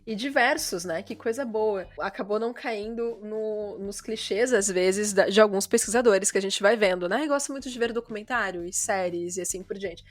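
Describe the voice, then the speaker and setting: A woman's voice: mid-range voice, normal speed, few pauses. A woman with a Brazilian accent hosting a podcast in a chatty, informal, enthusiastic way. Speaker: podcast host. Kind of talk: upbeat podcast presenting and interviewing.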